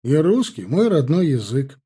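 A man's voice: fairly high pitch, very animated, moderate pace, mellow, slightly rough, heavily acted. A man voicing a good-natured, amused night watchman with an unruffled, jokey, emphatic way of talking in an audiobook. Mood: good-natured emphasis.

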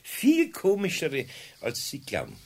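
An actor playing a kindly, evasive elderly master carpenter in a 1970s radio play, speaking with a Bavarian accent and hesitating often. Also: male voice, fairly high pitch, huge pitch swings, unhurried, gravelly, breathy edge, exaggerated voice. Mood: amused. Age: older. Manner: hesitant, stammering with fillers.

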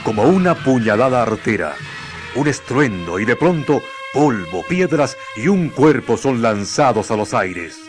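A man's voice: medium pitch, big pitch swings, measured pace. A man with a Chilean accent narrating a scripted radio drama in a solemn, literary storytelling manner. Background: music.

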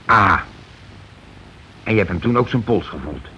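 Blustering tipsy husband, lowish voice. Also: male voice, lively intonation, normal speed, sharp tone. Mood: puzzled, probing. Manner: blunt, colloquial, repeats what he hears. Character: blustering, tipsy working-class husband, union man, gruffly slow-witted. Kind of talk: naturalistic radio play dialogue. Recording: muffled, noisy old radio broadcast recording.

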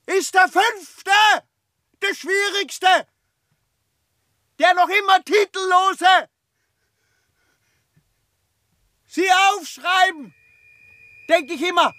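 An actor playing an obsessive, tormented scholar in a radio play monologue, speaking emphatically and haltingly, repeating himself. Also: male voice, extremely high pitch, sharp tone, dry recording, clean studio sound, heavily acted. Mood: brooding, obsessive. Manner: emphatic, repetitive, halting delivery with drawn-out words. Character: obsessive, tormented scholar consumed by his life's study.

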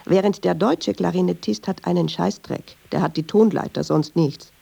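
A woman's voice: on the low side, moderate pace, vocal fry, dark tone, husky, full character voice. An actress playing a talkative, wry woman storyteller in a German radio play, digressive, colloquial and easily offended. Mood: sardonic storytelling.

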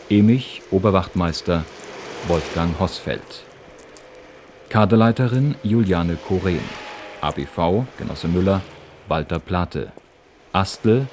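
A man formally reading out scripted radio play credits in a measured announcer's manner. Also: deep voice, a little mellow, slight background noise.

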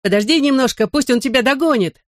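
Excited boy played by audiobook narrator, raised pitch, breathless shouts of wonder. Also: fast, no room sound, studio quality, close to an everyday voice. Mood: excited calling. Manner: breathless, exclamatory calling out.

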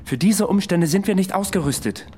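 An actor playing a world-weary, sceptical military adviser, speaking in a blunt, sober way in a radio drama. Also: male voice, sits high, animated, a little fast, warm tone, husky. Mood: warning. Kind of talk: radio drama acting and narration.

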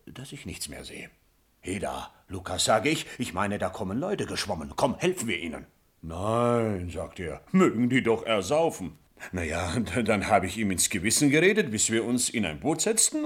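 An actor playing a simple, boastful, good-natured peasant in a comic radio play, telling his tale in a halting, exclaiming, rambling way. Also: fairly low pitch, slightly rough. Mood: halting, earnest. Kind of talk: German radio play acting of classic comedy.

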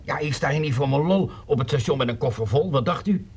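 An actor playing a flustered man stranded at a station, insisting on his word, raspy. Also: a little high, expressive, fast talker, darkish tone. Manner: insistent, apologetic. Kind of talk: 1960s radio play acting.

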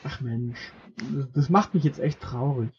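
A man co-hosting a casual podcast, talking in a wry, halting way with many fillers, and acting as game master for a short role-play game. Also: mid-range voice, darkish tone, slightly rough, lo-fi sound.

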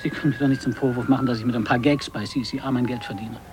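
An actor playing a defiant, bitter gag writer who argues emphatically in a radio drama. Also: male voice, medium pitch, fairly even intonation, rapid-fire, background noise, close to an everyday voice. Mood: pleading, defensive. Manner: emphatic, argumentative. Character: defiant, bitter male gag writer, agitated and self-justifying. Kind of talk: 1980s German radio drama acting.

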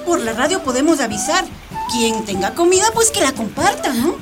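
Anxious, emphatic local woman for radio drama. High pitch, plaintive, music bed underneath. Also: sing-song, moderate pace. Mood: eager. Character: anxious, excitable neighbourhood woman, God-fearing and eager to help. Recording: music playing behind.

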